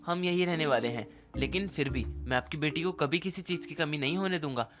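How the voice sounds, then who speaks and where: A man's voice: fairly high pitch, brisk, close to an everyday voice. A man playing a polite, earnest young son-in-law in a Hindi cartoon story, speaking respectfully. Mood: earnest, reassuring. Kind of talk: animated moral story acting.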